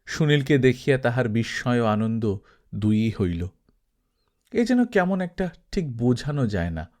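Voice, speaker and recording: A man narrates literary fiction at a mid-range pitch. His delivery is measured and expressive, suited to formal, classic prose read as an audiobook.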